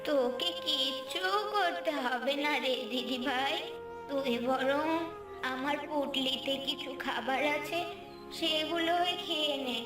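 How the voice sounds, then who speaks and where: A woman voicing a loving, ailing old grandmother in a cartoon fairy tale, halting and weary. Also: high-pitched. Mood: weary, tender. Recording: roomy, distant, loud music bed.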